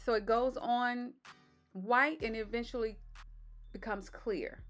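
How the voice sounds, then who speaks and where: A woman with a slightly raspy voice doing a relaxed step-by-step voice-over for her makeup tutorial. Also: on the high side, takes it slowly, soft background music. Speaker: beauty vlogger. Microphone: voice-over mic.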